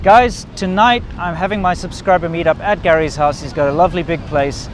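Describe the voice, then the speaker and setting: A man hosting a casual vlog, talking to the camera outdoors. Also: a little fast. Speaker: video host. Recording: outdoor rumble and wind noise.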